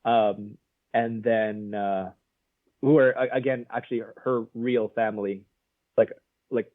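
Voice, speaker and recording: A man's voice: fairly low pitch, fairly even intonation, unhurried, dry recording. A man co-hosting a casual film review podcast, rambling with lots of fillers and frequent laughs. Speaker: podcast co-host. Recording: narrow phone-like sound.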